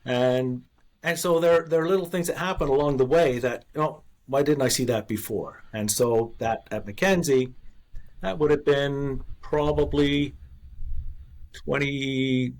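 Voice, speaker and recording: A man's voice: medium pitch, fairly even intonation, a little slow, darkish tone. A man in his sixties from Canada, a former investor being interviewed, telling his story in a candid, earnest way. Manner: candid, earnest, restarts and self-deprecating laughs. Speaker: guest, former investor and author.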